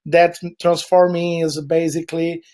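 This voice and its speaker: A man's voice: on the high side, level tone, a little slow, darkish tone. A man from Chile with a Brazilian Portuguese accent, Portuguese his first language, giving a webinar presentation in a meandering way with frequent fillers.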